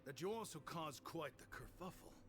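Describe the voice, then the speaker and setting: A man's voice: fairly high pitch, lively intonation, normal speed, lightly acted. An actor voicing a cocky, gossipy male gang member in a video game, with a knowing, teasing manner.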